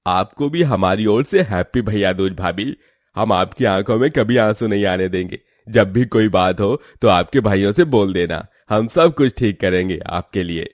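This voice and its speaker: A man voicing a protective young brother-in-law in a cartoon, calm, gentle and reassuring. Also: fairly low pitch, normal speed, no room sound, clean studio sound, natural voice.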